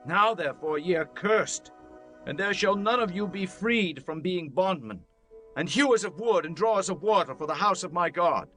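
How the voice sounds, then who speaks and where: A commanding Israelite leader in a scripture drama. The voice is high and sharply forceful, solemn and accusing, with faint music underneath. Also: male voice, expressive, moderate pace. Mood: angry condemnation. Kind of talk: dramatized scripture audiobook acting.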